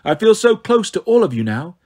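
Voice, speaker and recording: A man acts out a cold snow goddess from a fantasy storybook. The voice sits high and stays soft, gentle and purring. She is haughty and condescending, taunting with cruel, silky smugness. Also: sing-song, brisk. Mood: gloating, condescending. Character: beautiful cold snow goddess, haughty, sadistically smug villainess.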